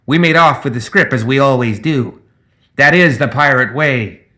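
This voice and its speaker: A man performs a grandiose little gremlin who captains sky pirates in a fantasy audiobook. The voice is pushed high and loud. He declaims pompously, like a born speechmaker in love with monologues. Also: normal speed, natural voice. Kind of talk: dramatized audiobook character voice.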